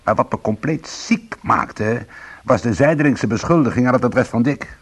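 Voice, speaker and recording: A man's voice: medium pitch, expressive, normal speed, husky. A man playing a bitter, sardonic small-time ex-convict in a Dutch radio play, protective and combative. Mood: bitter, wry. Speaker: lead actor and first-person narrator.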